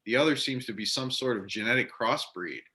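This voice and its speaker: Bright-voiced male narrator. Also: medium pitch, brisk. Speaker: narrator reading stage directions. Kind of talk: scripted narration, amateur readers theater.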